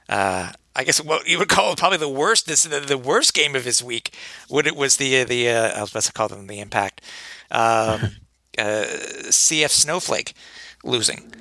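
Bright-voiced, chatty sports podcast host. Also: male voice, mid-range voice, moderate pace, dry recording. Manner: chatty, rambling, hesitant with fillers. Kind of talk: casual sports chat.

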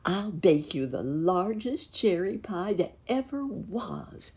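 An obliging muskrat housekeeper from a storybook, played by a woman, talks in a measured way. Her voice is husky and creaky, taking its time. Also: deep voice, sing-song, exaggerated voice. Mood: grateful. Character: obliging female muskrat housekeeper, Nurse Jane. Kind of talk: children's storybook character voice.

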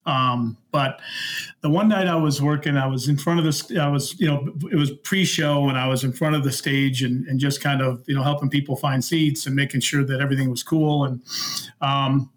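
A middle-aged man telling anecdotes as an interview guest, casually and in halting, conversational pieces. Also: medium pitch, fairly even intonation. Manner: halting, conversational, stepping through stories in small pieces. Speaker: interview guest, former concert security worker.

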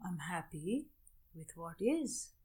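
A woman leading a guided meditation as a teacher, giving measured instructions in short phrases with long pauses. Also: on the low side, very animated, darkish tone, breathy edge.

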